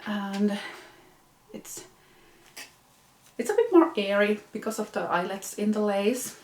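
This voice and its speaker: A woman's voice: mid-range voice, expressive, slow, darkish tone, echoey room. A young woman from Finland with a Finnish accent, hosting a knitting podcast and showing her projects in a hesitant, casual way with many fillers. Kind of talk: casual show-and-tell.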